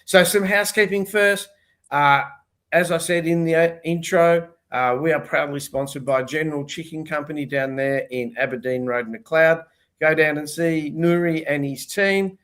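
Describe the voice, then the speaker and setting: A man's voice: fairly high pitch, normal speed, fairly bright tone. An older man hosting a casual panel show over a video call, sounding nasal and throaty from a cold, chatty and jokey. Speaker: host.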